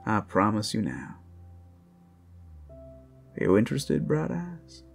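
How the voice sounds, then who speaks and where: A man's voice: fairly low pitch, wildly swooping pitch, slow pace, soft background music, heavily acted. A man voicing a playful, flirtatious and friendly werewolf in an ASMR roleplay, teasing a single listener. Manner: teasing, smooth-talking, addressing one listener. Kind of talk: ASMR roleplay, intimate character acting.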